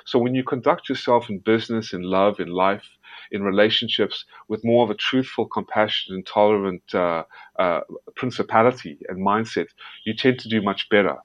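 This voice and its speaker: A man's voice: lowish voice, moderate pace, no room sound. A man from South Africa, a guest investigative journalist, answering interview questions earnestly and emphatically, often stumbling and repeating words. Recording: dull, dry lo-fi sound.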